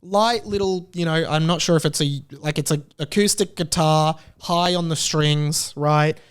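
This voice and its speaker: Young australian man, podcast host, casual monologue, playful opinions, slightly high voice, smooth and resonant. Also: fairly even intonation, measured pace. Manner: chatty, playfully opinionated, jokey.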